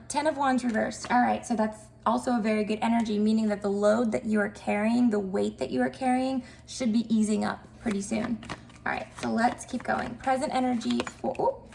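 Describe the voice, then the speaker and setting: Chatty woman with a stuffy, gravelly voice. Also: a little high, darkish tone, slight background noise. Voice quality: cracky, nasal. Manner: chatty, upbeat, many fillers. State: mild cold, nasal and stuffed up. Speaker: tarot reader. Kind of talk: casual tarot reading to camera.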